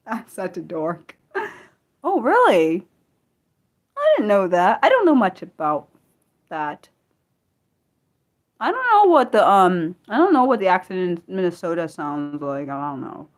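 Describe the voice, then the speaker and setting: An American woman hosting a casual livestream, rambling and laughing often, trailing off with self-deprecating asides and swinging from loud bursts to soft mumbles. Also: fairly low pitch. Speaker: livestream host. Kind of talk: casual unscripted livestream chat, answering viewers.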